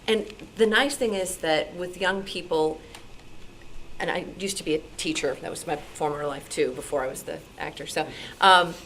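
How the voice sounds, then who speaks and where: A woman's voice: lowish voice, normal speed, a bit bright, a little hiss or hum. A woman, an actor and former teacher, speaking informally in a group discussion at a club meeting.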